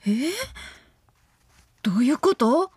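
Casting: frightened young Kansai woman in radio zombie comedy, high-pitched, slow drawn-out delivery, exasperated and pleading. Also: very animated, a little mellow, slightly rough, heavily acted. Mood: confused. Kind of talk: comedic radio drama acting.

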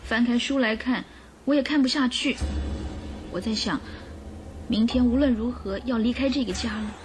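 Radio drama, actress for accused young governess: high voice, earnest and emotional, music underneath. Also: female voice, normal speed, natural voice. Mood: anxious recounting.

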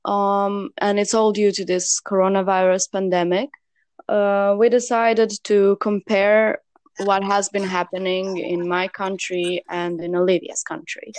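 A woman's voice: mid-range voice, level tone, unhurried, darkish tone, smooth, no room sound. Young woman from Serbia, dry close sound. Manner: hesitant, many fillers and restarts. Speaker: co-host. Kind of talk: casual discussion.

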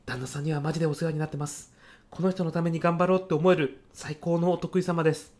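A man's voice: fairly high pitch, steady tone, fast talker, a little mellow, natural voice. A man acting as an earnest, overzealous young salesman who moonlights as a food courier in a comic radio drama, speaking in eager, casual-polite salaryman style. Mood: sincere, polite.

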